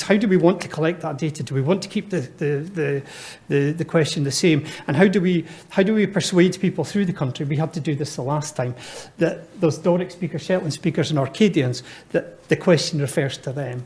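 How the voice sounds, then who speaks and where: A man's voice: fairly high pitch, brisk, hardly any pauses, darkish tone. Chatty man in his fifties. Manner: good-humoured, chatty, with frequent fillers and restarts. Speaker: panelist. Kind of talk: live panel discussion talk. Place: lecture hall before an audience.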